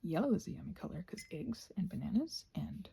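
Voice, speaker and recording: Breathy, husky woman rambling softly. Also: on the low side, big pitch swings, fairly quick. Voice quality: breathy, often close to a whisper. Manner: musing aloud to herself, playful and whimsical. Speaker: host of a craft ramble ASMR channel. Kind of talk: soft ASMR rambling while painting.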